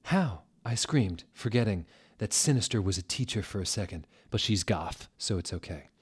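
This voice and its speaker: A young man giving a mock-dramatic reading, deadpan with theatrical emphasis, close on a desk microphone. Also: low voice, normal speed, breathy edge. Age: young adult. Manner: deadpan, reads misspellings literally with theatrical emphasis. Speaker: reader, show host. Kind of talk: mock-dramatic reading of bad fan fiction. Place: indoor room at a table. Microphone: desk microphone on a stand, close.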